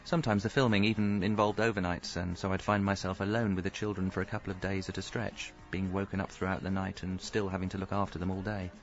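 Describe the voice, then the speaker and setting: Low-voiced, hapless young husband. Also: male voice, little pitch movement, quick pace, few pauses, lo-fi sound, soft background music. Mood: wry narrating. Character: hapless, earnest, self-deluding young father and husband. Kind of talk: radio comedy acting with wry first-person narration.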